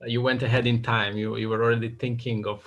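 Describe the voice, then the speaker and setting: A man's voice: medium pitch, little pitch movement, fairly quick. A man attending an online workshop, making brief friendly remarks to the presenter over a video call.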